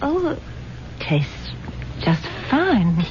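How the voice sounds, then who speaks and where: Slow-spoken trusting wife, vintage radio. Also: female voice, on the high side, sing-song, very noisy, heavily acted. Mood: grateful, fond. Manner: earnest, genteel, hesitant. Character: trusting wealthy wife, earnest, sentimental, easily flattered. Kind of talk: old-time radio mystery acting. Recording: muffled vintage radio recording with loud music.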